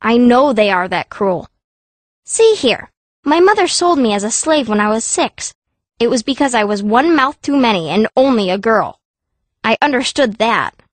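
A sharp-tongued young girl mage, played by a woman tells her own story in a fantasy audiobook. Her voice is fairly high, blunt and cranky, recorded close and dry. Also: expressive, moderate pace, dry recording, natural voice. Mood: bitter, insistent. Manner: blunt, grumbling. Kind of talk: first-person audiobook narration.

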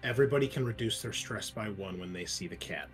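A man's voice: lowish voice, quick pace. A man running a tabletop game as game master, narrating casually. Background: music.

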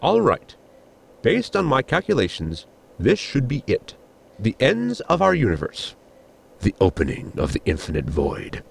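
A man playing a formal, pedantic science officer in a sci-fi comedy audio drama, with a gravelly voice and precise technical wording. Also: medium pitch, huge pitch swings, a little slow, full character voice. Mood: eager, formal.

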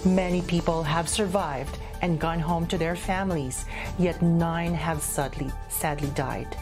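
Lower-pitched woman news anchor over music. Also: steady tone, unhurried. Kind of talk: formal news reading and interviewing.

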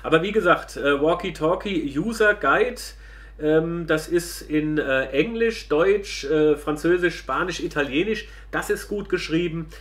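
A man's voice: on the high side, unhurried, a bit bright. A man casually demonstrating a product as a reviewer, chatty and colloquial, speaking directly to viewers.

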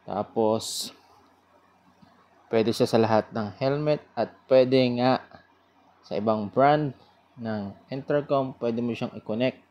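A man giving a casual product review in an informal, sales-pitch manner. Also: darkish tone, dull audio.

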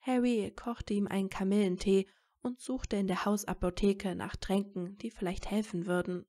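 A woman's voice: mid-range voice, fairly even intonation, moderate pace, dark tone. A woman reading scripted narration for a fan fiction audio story.

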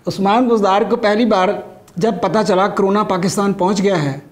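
A man's voice: high voice, fairly even intonation, moderate pace, noisy background. A middle-aged man with a Pakistani accent delivering a scripted satirical comedy monologue as a stand-up comedian. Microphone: lapel mic.

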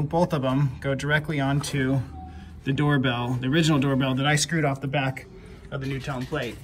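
A man giving a casual hands-on DIY tutorial in a halting way, repeating words as he explains, recorded outdoors. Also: on the high side, fairly bright tone. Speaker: DIY homeowner. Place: outdoors by a house front door. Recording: clear background noise, outdoor ambience.

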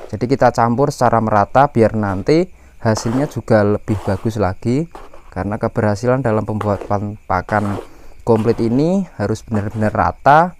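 A man's voice: lowish voice, moderate pace, a little mellow, a little hiss or hum. A man from Indonesia giving an informal step-by-step farming tutorial as the host, explaining things in a somewhat hesitant way and addressing viewers directly. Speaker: livestock farmer and channel host.

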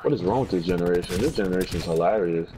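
A man's voice: deep voice, fast talker, noisy background. A young man trash-talking over game voice chat, taunting and mocking with repeated jabs. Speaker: gaming YouTuber.

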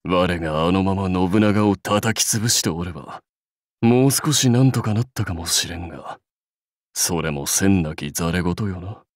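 An actor playing a proud, seasoned samurai warlord in a game, speaking in stately, measured, archaic phrases with wry authority. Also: male voice, sits low, animated, dry recording, clean studio sound. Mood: rueful. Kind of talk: scripted game character lines.

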